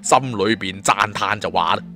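A man narrating an adventure tale in as a theatrical, expressive audiobook storyteller. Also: fairly low pitch, moderate pace, soft background music.

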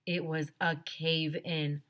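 Low-voiced woman reading a children's story. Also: takes it slowly. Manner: animated, acts out dialogue. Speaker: teacher reading aloud. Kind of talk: expressive children's story read-aloud. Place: small decorated home classroom. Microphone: headset microphone.

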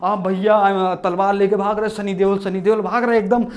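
A young man doing stand-up, retelling a movie in a rustic storyteller's manner. He shouts at the characters and acts them all out, recorded on a lapel mic in a small room. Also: sits high, flat delivery, moderate pace. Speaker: stand-up comedian. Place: small indoor room with posters. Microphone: lapel mic, camera at mid distance.

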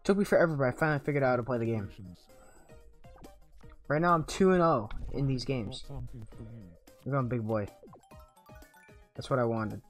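Brief: young male YouTuber, under the weather and slightly raspy, jokey and excitable, faint music behind, mid-pitched. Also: moderate pace, pauses often. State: sick and a little raspy. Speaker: gaming YouTuber. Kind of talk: casual let's-play commentary.

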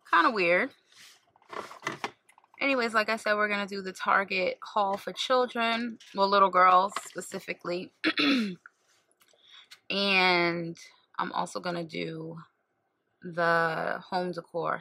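A woman's voice: lowish voice, creaky, pushed and bright, a little hiss or hum. A woman in her thirties chatting casually to camera from a car's passenger seat, often correcting herself as she talks, recorded on a camera held at arm's length. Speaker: vlogger. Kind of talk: casual vlog chat to camera. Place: inside a car, passenger seat.

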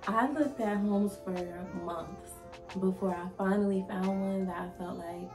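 A young woman talks straight to the camera in an easy, chatty vlog, repeating herself and filling gaps with likes and ums. Her mid-range voice is a little raspy from a cold she is getting over. The room has a noticeable echo, and music plays clearly behind her. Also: medium pitch, fairly even intonation, unhurried. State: slightly raspy from a recent cold. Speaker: vlogger. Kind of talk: casual talk to camera. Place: indoor room, plain wall and plant. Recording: echoey room with background music.

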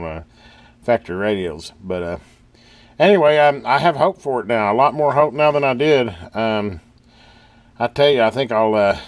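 Low-voiced man, halting and folksy. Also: dry recording. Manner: halting, folksy, thinking aloud. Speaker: host of a radio repair channel. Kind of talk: casual hands-on repair vlog commentary. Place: indoor electronics workbench.

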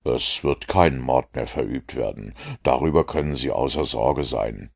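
A man voicing a calm, confident detective who explains his reasoning in a measured, lecturing way. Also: rumbling low, creaky, full character voice. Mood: resolute. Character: calm, confident male detective, middle-aged.

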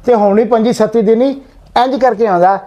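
A man's voice: high voice, fairly even intonation, fairly quick, dark tone, muffled recording, lightly acted. A man playing a bossy, scolding household guru. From Punjab, Pakistan. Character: bossy middle-aged transgender household guru. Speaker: head of the house, called guru. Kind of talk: Punjabi comedy sketch. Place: small bedroom indoors.

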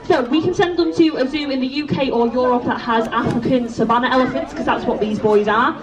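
A woman's voice: sits high, steady tone, brisk. A woman giving an informative public talk outdoors as a zoo keeper. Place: outdoors at an elephant enclosure. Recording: distant, slightly dull, crowd around.

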